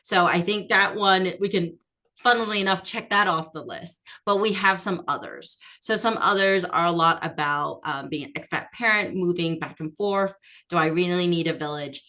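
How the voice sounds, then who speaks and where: Woman, host, casual interview, bright tone, slightly low voice, chatty with fillers. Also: normal speed. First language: not French.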